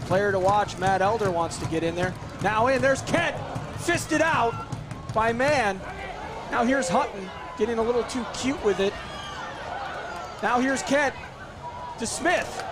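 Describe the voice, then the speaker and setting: A man calling a live soccer match play by play, hoarse and losing his voice, his voice jumping up on big chances. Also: extremely high pitch, unhurried, long pauses, music in the background. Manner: excitable, voice jumps up on big chances. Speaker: play-by-play commentator. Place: outdoor soccer field. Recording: loud crowd and outdoor noise. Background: cheering crowd.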